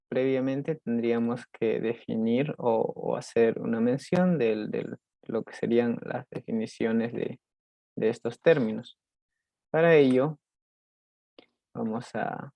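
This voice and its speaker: A young Peruvian man with a Peruvian accent presents a class topic, halting often and restarting his phrases. His voice is dark and gravelly. The recording is dry and close, with no room sound. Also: medium pitch, dry recording. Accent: Peruvian Spanish. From Peru. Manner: halting, many restarts and fillers. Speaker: student presenter.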